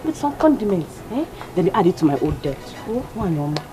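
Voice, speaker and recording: A woman's voice: fairly low pitch, sing-song, fairly quick, music in the background. A woman playing a poor village woman who begs emphatically and repeats herself. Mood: pleading. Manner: pleading, emphatic, repetitive. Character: pleading indebted village woman, dramatic. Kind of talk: skit acting.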